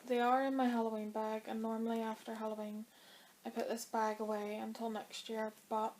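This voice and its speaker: Flat-voiced woman with a dry throat. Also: on the high side, fairly quick, a little hiss or hum, rough recording. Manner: chatty and rambling, with many fillers. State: dry throat, coming down with a cold. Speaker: knitting vlogger. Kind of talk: casual chat to camera.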